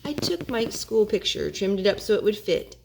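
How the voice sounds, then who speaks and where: A woman reading a rhyming picture book aloud to young children, with expressive, gently dramatic storytelling. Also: mid-range voice, moderate pace, vocal fry, background noise.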